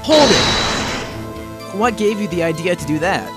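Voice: medium pitch, lively intonation, takes it slowly. Performer as young male rookie attorney, unsure, courtroom game fan dub, music underneath. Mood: challenging.

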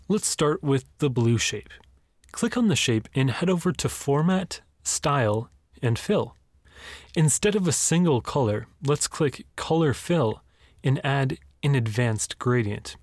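A man's voice: mid-range voice, darkish tone, no room sound. A young man in his twenties giving an upbeat, encouraging step-by-step design tutorial, recorded in a small home studio. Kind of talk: screen-recorded software tutorial.

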